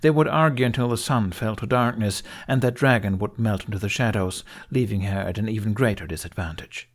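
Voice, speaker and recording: A man reading fiction as an audiobook narrator, in an expressive, scripted storytelling style. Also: fairly low pitch, fairly quick.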